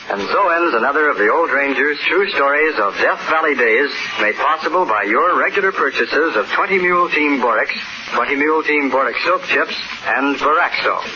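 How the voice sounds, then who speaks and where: A man's voice: a little high, expressive, normal speed. A man announcing a sponsor message in vintage radio style. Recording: muffled, noisy old radio recording.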